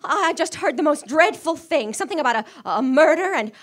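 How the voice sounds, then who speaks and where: A high-pitched, nervy wife in a vintage radio thriller, acted by a woman. She is sickly and demanding, stumbling over her words as she frets and insists, captured in a live performance. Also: animated, fairly quick. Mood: frantic, insistent. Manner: fretful, stammering, breathless urgency. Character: nervous, sickly, demanding wife alone at home. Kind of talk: old-time radio suspense acting. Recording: live stage radio play recording.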